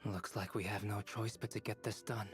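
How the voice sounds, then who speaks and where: A man playing a young alchemist in a video game, calm, deliberate and thoughtful. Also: on the low side, natural voice. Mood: composed. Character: composed, analytical young male alchemist turned toy. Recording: faint game music behind.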